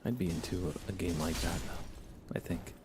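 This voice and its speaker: A man giving soft-spoken, relaxed and unhurried ASMR commentary while he plays a video game live. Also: deep voice, noisy background. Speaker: livestreamer playing a video game.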